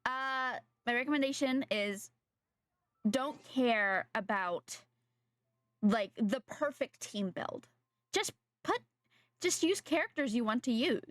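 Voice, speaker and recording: A woman's voice: a little high, animated, slow pace, long pauses, fairly bright tone, no room sound. A young adult woman streaming a game, chatting casually with viewers in a slightly nasal voice from a sore throat, rambling and correcting herself. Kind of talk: casual live-stream chat while gaming.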